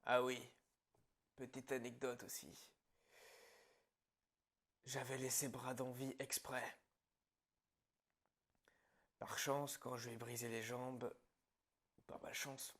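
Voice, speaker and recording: Man playing a slow, husky psychopath killer. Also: mid-range voice, little pitch movement. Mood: smug. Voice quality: husky, gravelly, breaking into whispers. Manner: slow, pausing, quietly menacing storytelling. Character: calm, gloating male psychopath killer confessing his story. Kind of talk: French ASMR horror roleplay monologue.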